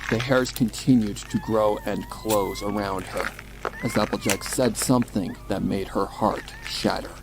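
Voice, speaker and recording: A man's voice: lowish voice, wildly swooping pitch, a little slow, rough recording. A man reading a horror story aloud in a dramatic, scripted narrator's style. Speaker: narrator of a horror fan fiction.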